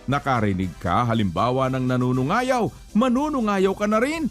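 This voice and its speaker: Male preacher, lively melodic delivery, admonishing and emphatic, music underneath. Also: medium pitch, normal speed. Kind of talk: religious exhortation with rhetorical questions and scripture quotes.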